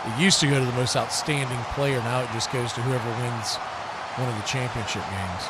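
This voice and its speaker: An American man doing live football play-by-play commentary, conversational between snaps and animated on big plays. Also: mid-range voice, fairly quick, heavy background noise. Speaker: play-by-play sportscaster.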